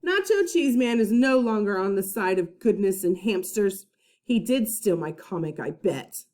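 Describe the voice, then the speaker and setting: A woman teacher reading a children's storybook aloud with dramatic emphasis, pausing to put questions to young listeners. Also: mid-range voice, expressive, a little mellow. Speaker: first-grade teacher.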